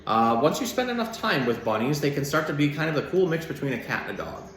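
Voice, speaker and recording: A man's voice: on the high side, big pitch swings, fast, fairly bright tone. A man giving simple, child-friendly explanations and storybook reading in the informal style of an animal educator. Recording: roomy echo, some background noise.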